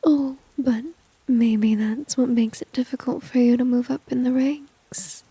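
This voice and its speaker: Breathy whispering flirty villainess played by a woman. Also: a little high, fairly even intonation, mellow, dry recording, heavily acted. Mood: smug, seductive. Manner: slow, coy, mocking, drawn-out teasing. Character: flirty, dominant female villain, teasing and condescending. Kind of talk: whispered ASMR roleplay.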